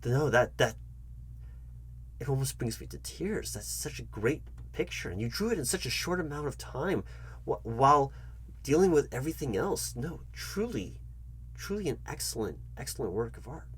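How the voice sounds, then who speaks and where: A man playing a foolish, patronizing job interviewer in an ASMR comedy roleplay, speaking to the listener in a halting, repetitive, mock-earnest way. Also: medium pitch, sing-song, a little hiss or hum, natural voice. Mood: mock admiration. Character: foolish, condescending male job interviewer toying with the candidate.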